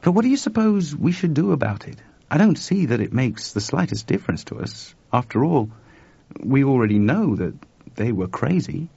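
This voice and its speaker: A man voicing a weary, skeptical young male engineer with a gruff, sardonic way of talking. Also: medium pitch, very animated, moderate pace, mellow, dry recording, voice pushed up, exaggerated voice. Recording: dry, dull lo-fi recording.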